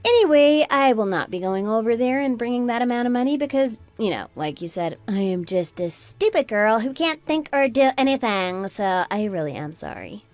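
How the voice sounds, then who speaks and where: A woman's voice: a little high, expressive, moderate pace, hardly any pauses, soft background music, lightly acted. A woman playing a fed-up, sarcastic daughter-in-law in a melodramatic radio drama. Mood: cold, sarcastic. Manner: sarcastic, taunting. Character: scornful, fed-up adult daughter-in-law, Anna, defiant and mocking. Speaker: voice actor in an online radio drama.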